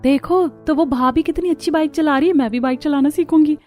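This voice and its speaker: A woman voicing an excited, admiring young girl in a cartoon story. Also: high-pitched, brisk, pitched above the performer's own voice, close to an everyday voice.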